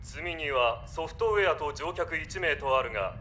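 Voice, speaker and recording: An actor playing a stern, officious space police officer with formal, commanding delivery in a Japanese audio drama. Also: male voice, on the high side, animated, normal speed, pushed and bright, slight background noise.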